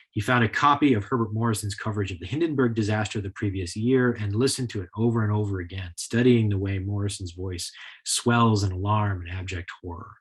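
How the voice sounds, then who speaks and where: A man delivers a prepared history lecture. His voice is on the low side and noticeably husky, in the manner of an author presenting research. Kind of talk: scripted lecture with played audio clips.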